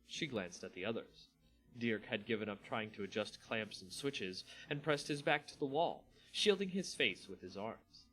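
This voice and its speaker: A man reading a fantasy story aloud as a theatrical, deliberate dramatic narrator. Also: medium pitch, fairly bright tone, low-quality recording.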